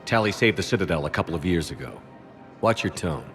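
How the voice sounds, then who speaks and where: A man playing a stern, steady space military commander in a video game, with measured, terse and authoritative delivery. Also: low-pitched, faint music behind. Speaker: game protagonist. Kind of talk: cinematic video game acting.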